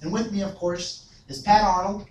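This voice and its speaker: A man playing an excitable sports superfan host in a comedy sketch, stretching words, repeating himself and tagging questions with "eh". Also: high voice, lightly acted. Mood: showy, excitable. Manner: drawn-out, repetitive, tags questions with "eh". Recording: echoey room, distant mic, faint noise.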